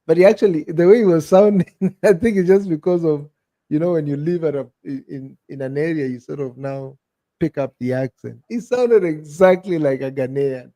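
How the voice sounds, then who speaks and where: A man's voice: on the high side, normal speed, no echo. A Kenyan man in his thirties chatting on a podcast, telling stories with energy and calling his friend "bro". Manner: animated storyteller, peppers talk with "bro". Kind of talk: casual chat.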